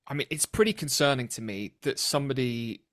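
A British man with a nasal voice, hosting a political podcast in an earnest, serious and somewhat halting way. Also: medium pitch, animated, a bit bright, no echo, studio quality. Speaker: podcast host interviewing a colleague. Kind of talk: conversational political podcast interview.

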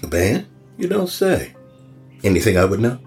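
An actor plays a middle-aged small-town police chief in an audio drama. His voice is deep, his manner folksy and probing, with faint music behind. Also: huge pitch swings, darkish tone. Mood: chummy. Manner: folksy, unhurried questioning.

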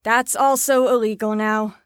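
A woman's voice: fairly high pitch, fairly even intonation, a little slow, clean tone, dry recording, studio quality, close to an everyday voice. A woman playing a chipper but firm mental facility staffer in an audio drama, with a perky, authoritative manner. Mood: firm, dismissive.

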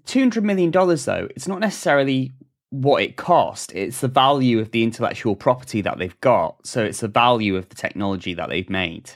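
Brief: male podcast host, lively pitch, wry humor, reads news segments. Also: brisk. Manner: wry, joking, shifts into a scripted news read. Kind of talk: casual podcast chat and news reading.

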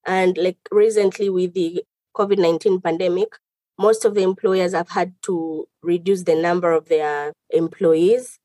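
Woman, from Tanzania, lawyer, podcast guest, measured explainer, warm dark tone. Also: on the low side, fairly even intonation, no echo. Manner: measured, explanatory, lists items. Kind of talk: podcast interview answers.

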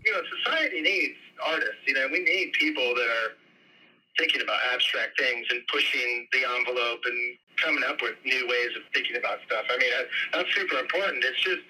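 A man's voice: mid-range voice, expressive, brisk, sharp tone, slightly rough, low-quality recording. A man in his forties talking casually in an interview, with many fillers and restarts. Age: 40s. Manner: casual, hesitant, lots of fillers. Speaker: interviewee, business owner. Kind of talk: recorded interview clips.

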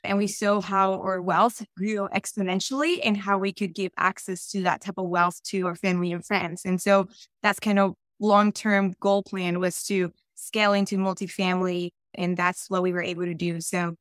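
A woman with a Colombian Spanish accent talks through her answers warmly and casually, with frequent fillers. Her voice is smooth and clear at a middle pitch, recorded dry. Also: level tone, moderate pace, no echo. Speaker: podcast guest, real estate investor. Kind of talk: conversational interview answers.

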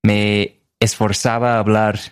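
This is a man in his thirties with a fairly low voice. He speaks slowly and haltingly in casual conversation, searching for words. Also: little pitch movement, clear, resonant tone. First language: English.

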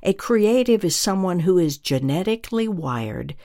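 A minister delivering a scripted religious teaching in a deliberate, earnest way, with emphatic phrasing. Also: medium pitch, lively intonation, warm tone.

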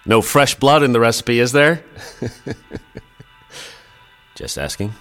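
An actor playing a skeptical, protective brother in an audio play, dry and wry or desperate and stammering. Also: male voice, medium pitch, lively intonation, takes it slowly, fairly bright tone, faint music behind. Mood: wry, doubtful. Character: skeptical protective brother, growing frantic with fear.

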